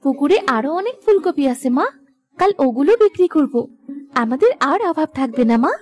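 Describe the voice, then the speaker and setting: A very high-voiced, dutiful village girl from a cartoon moral tale. She speaks earnestly and gently, with lively, swooping intonation and a hopeful, pleading sweetness. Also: a little fast. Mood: proud, hopeful.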